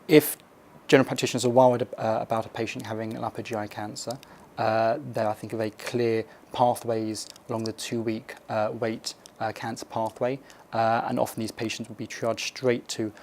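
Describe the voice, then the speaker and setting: A man speaking as a hospital consultant, giving careful, formal and halting interview answers for an educational film. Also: lowish voice, flat delivery, darkish tone, slight background noise.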